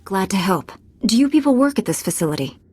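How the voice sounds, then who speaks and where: A woman's voice: mid-range voice, very animated, fast, a little mellow, slightly rough. An actress playing a resolute, serious female Jedi Knight in a video game, direct and terse. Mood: firm, probing.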